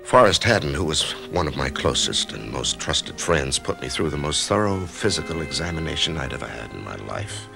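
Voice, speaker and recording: A brooding middle-aged American in a radio mystery, with an extremely low, crackling voice. He tells his own grim story in a measured, introspective, halting way, and his pitch moves expressively. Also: big pitch swings, over music, exaggerated voice. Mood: somber, reflective. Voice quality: gravelly. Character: brooding man facing death, narrating his own ordeal. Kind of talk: radio drama acting with first-person narration.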